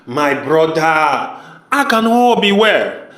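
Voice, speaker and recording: A man's voice: a little high, big pitch swings, slow pace, fairly bright tone, roomy sound, heavily acted. A man in an amateur audio drama playing an earnest, troubled brother who speaks in an emphatic, repetitive, pleading way.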